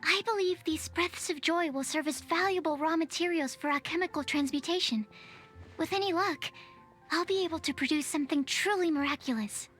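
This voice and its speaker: Shy little alchemist girl, super high soft voice, hesitant and nerdy, game music underneath. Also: a little fast. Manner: hesitant, precise, talks like a scientist. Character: shy young female alchemist, nervous and bookish. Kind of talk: video game dialogue.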